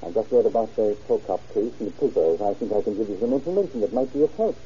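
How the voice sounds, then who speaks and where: A man's voice: on the low side, animated, fast talker, dark tone, slight background noise, close to an everyday voice. A man playing an earnest, fussy small-town attorney in an old-time radio drama. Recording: dull muffled old radio recording.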